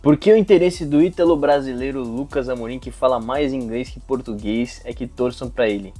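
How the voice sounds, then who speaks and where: A man's voice: mid-range voice, fairly quick, faint music behind. A young man from Brazil talking casually to camera about his acting campaign, full of slang and swearing. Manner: slangy, profane, animated venting. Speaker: aspiring actor vlogger.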